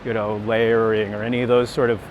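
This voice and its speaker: A man's voice: little pitch movement, a little fast, narrow, tinny audio. A middle-aged American man chatting casually and reflectively as he walks outdoors, candid and self-deprecating, telling anecdotes. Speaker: street photographer and workshop teacher being interviewed. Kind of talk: casual reflective chat while walking. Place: outdoors on city streets. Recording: outdoor city rumble and traffic noise. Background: urban rumble, vehicles.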